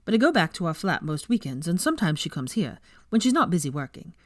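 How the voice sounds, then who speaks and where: A woman's voice: lowish voice, sing-song, fast, dry recording, studio quality. A woman voicing an amiable, sheepish young man in a story reading, earnest and easygoing. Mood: happy, earnest.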